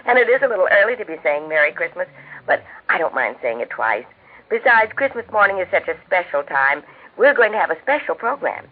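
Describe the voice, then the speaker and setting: An American woman with a genteel, measured, instructive manner, speaking scripted homemaking talk as host of a 1940s radio cooking show. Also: fairly low pitch, big pitch swings, husky. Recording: tinny band-limited 1940s radio recording.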